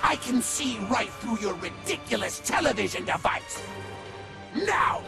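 A megalomaniac little dark wizard from a cartoon game, shrill and high. He proclaims bombastically and slowly, often close to shouting, with big swoops of pitch and music behind him. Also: very animated, gravelly, heavily acted. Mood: triumphant menace. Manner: pompous, bombastic, taunting. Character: short, bald, megalomaniac male evil dark sorcerer. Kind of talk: over-the-top cartoon villain acting.

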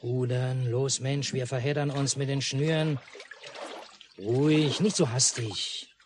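Guy acting a gruff bossy dad out fishing, curt commands, old fifties radio play, noisy mono tape. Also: medium pitch, fairly even intonation, slow, natural voice. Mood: commanding. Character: gruff, bossy lakeside father and angler.

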